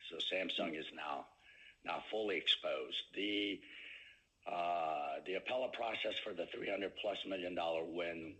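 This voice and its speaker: A man speaking as a company executive answering questions on an earnings call, with halting phrasing and many pauses. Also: low voice, bright, forceful tone, rough recording.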